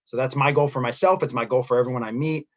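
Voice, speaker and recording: A man from San Diego, a school principal, introducing himself on a panel in a measured, sincere way. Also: medium pitch, steady tone, lo-fi sound. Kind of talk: unscripted introduction.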